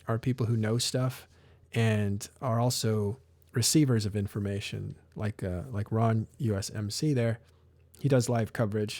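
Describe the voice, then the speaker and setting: A man's voice: on the low side, a little mellow, no room sound. A man hosting a casual live stream, talking in a rambling way with many restarts and fillers. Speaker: live show host.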